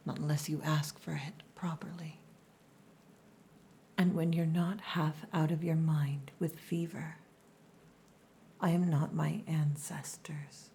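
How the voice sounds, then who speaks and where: Woman as a slow, whispering, possessive orc lover. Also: sits low. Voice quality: breathy, largely whispered. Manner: slow, hushed, softly commanding. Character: possessive, gruff but tender female orc lover. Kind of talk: ASMR roleplay.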